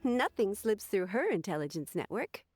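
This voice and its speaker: A woman playing a poised, courteous secretary in a video game, speaking formally and with measured politeness. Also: mid-range voice, big pitch swings, darkish tone, soft background music, natural voice. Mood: polite, composed. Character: poised, courteous young female secretary to a noblewoman. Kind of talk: video game cutscene voice acting.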